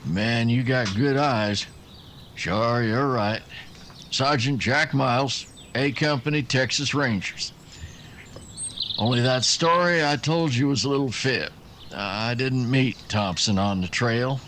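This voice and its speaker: An actor playing an easygoing undercover ranger in a western radio drama, talking in a genial, folksy cowboy way. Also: male voice, mid-range voice, takes it slowly, fairly bright tone, a little hiss or hum. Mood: friendly.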